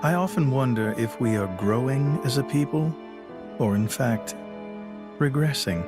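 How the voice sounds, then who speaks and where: A man acts a long-lived religious leader in a game cutscene. He is solemn and courteous, choosing formal words with grave, measured calm. Music plays clearly behind his voice. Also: mid-range voice, lightly acted. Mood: calm, reflective. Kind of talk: video game cutscene acting.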